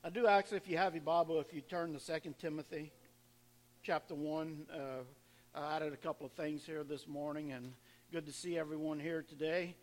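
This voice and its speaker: A male pastor leading a church service, fervently urging the congregation to praise and chuckling through casual asides, his voice a little hoarse. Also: on the high side, fairly even intonation, moderate pace. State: slightly hoarse from worshiping. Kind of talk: church service exhortation and announcements. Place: church sanctuary. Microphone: handheld or stage microphone.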